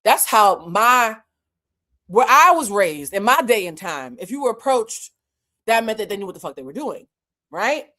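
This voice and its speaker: A woman whose first language is French tells a casual story to her viewers. She is animated and profane, and she talks straight to her audience. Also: mid-range voice, normal speed. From Boston. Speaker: channel host.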